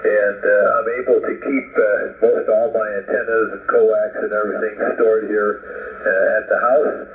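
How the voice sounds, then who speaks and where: A man chatting casually as a ham radio operator over a two-way radio, halting and rambling as he goes. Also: lowish voice, level tone, slight background noise. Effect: two-way radio transmission. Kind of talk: casual radio contact chat. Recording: muffled, roomy ham radio signal.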